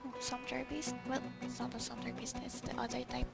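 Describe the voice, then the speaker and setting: Girl gamer muttering to herself while playing, kinda high creaky voice, game music behind. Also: completely flat delivery, a little fast. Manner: muttering to herself, fragmented, trailing off. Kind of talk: casual gameplay commentary. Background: video game music.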